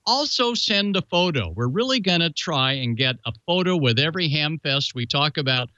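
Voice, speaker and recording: A man's voice: on the high side, lively intonation, normal speed, pushed and bright, no echo, highs cut off. A man hosting a show and presenting amateur radio club news in a folksy, jokey announcer's way. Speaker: show host.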